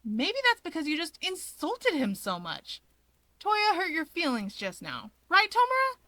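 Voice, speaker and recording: A woman's voice: very high voice, wildly swooping pitch, measured pace, pushed and bright, dry recording, voice pushed up, exaggerated voice. A woman voicing a shameless, teasing young man, a cocky younger brother in a fan story, talking emphatically.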